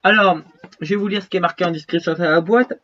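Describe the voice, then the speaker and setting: Casting: rambling vlogger with a cold, fairly high male voice, dull lo-fi audio. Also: quick pace, a bit bright. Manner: rambling, restarts, slangy. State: has a cold, stuffy and short of breath. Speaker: YouTuber showing his gear. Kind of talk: casual vlog chat to viewers.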